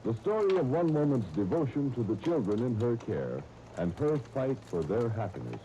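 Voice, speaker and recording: A man's voice: mid-range voice, sing-song, normal speed, mellow. A man working as a radio announcer, reading scripted copy in 1930s style. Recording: muffled, hissy 1930s radio disc.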